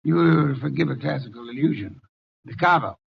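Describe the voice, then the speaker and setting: A man's voice: on the high side, normal speed, creaky, slight background noise. An actor playing a pompous, irritable, very fat male genius detective with curt, formal diction in a 1950s radio drama. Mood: probing, dry. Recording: dull lo-fi vintage radio recording.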